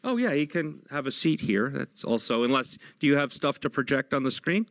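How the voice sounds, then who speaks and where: A man with an American accent chairing a meeting, speaking in formal procedural phrases with halting improvised stretches. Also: mid-range voice, sing-song, fairly bright tone, no echo.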